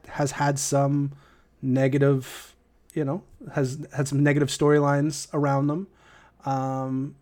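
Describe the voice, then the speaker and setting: A man with a somewhat raised pitch co-hosts a relaxed podcast. He rambles and restarts his sentences, trading jokes with his co-host. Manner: rambling, hesitant, restarts often, joking. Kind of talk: casual chat.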